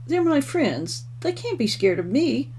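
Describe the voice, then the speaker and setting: A woman's voice: high voice, very animated, unhurried, dark tone, pitched above the performer's own voice, full character voice. A woman voicing a feisty, argumentative young woman in a storybook reading, blunt and indignant. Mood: protesting. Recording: clearly noisy home recording.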